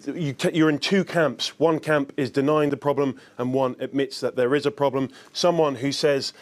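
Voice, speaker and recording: A man delivers a live newspaper review for a news broadcast. His voice sits at a middle pitch, and he talks viewers through headlines as a presenter would. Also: steady tone, moderate pace. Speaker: press reviewer on a TV news show. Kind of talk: live press review commentary.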